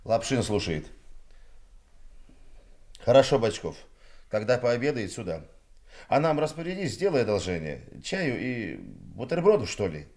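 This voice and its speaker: An actor playing a calm, dry-humoured police investigator, unhurried and understated, in a radio drama. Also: male voice, on the low side, creaky, full character voice. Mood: calm, kindly. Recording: noisy old radio play recording.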